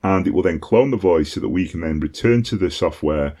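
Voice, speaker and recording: Deep-voiced British man explaining casually. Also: expressive, a little fast, mellow. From Britain. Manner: conversational, frequent ums and "okay?" check-ins. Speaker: online course instructor.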